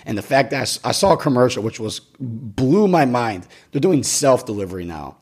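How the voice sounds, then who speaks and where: A man's voice: mid-range voice, expressive, a little fast, breathy edge. A man in his twenties hosting a casual podcast, rambling with lots of restarts and casual swearing. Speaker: podcast host.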